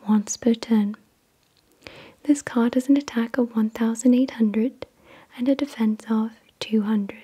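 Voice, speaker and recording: A woman hosting ASMR, reading out descriptions in a breathy whisper with careful, soothing enunciation. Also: a little high, warm tone. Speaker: ASMR video host. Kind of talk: soft-spoken ASMR card unboxing, reading descriptions.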